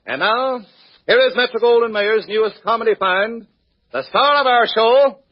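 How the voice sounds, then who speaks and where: High-pitched male old-time radio announcer. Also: normal speed. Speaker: radio announcer and straight man. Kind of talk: 1940s radio announcing and scripted banter. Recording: noisy, dull 1940s radio recording. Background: loud studio audience.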